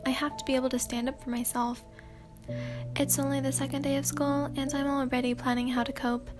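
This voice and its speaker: A woman hosting a sleepy ASMR channel, reading fan fiction aloud in a soft, hesitant voice with many fillers and throat clears. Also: sits high, level tone, a little fast, over music.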